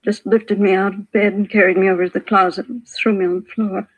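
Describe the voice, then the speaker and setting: An actress playing a frail, shaken older widow, hesitant, plaintive and apologetic, in a 1950s radio police drama. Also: female voice, mid-range voice, little pitch movement, a little fast, muffled recording, lightly acted. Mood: weak, unsure. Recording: vintage 1950s radio broadcast.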